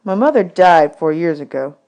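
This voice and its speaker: Woman reading as an earnest boy, lively intonation. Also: on the low side, normal speed. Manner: polite, plain, folksy. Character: polite, earnest young orphan boy.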